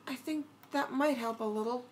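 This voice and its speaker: A man's voice: extremely high pitch, normal speed, a little hiss or hum, voice pushed up. A man putting on a timid lost little girl. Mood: wistful. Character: lost, puzzled young girl, gentle and polite.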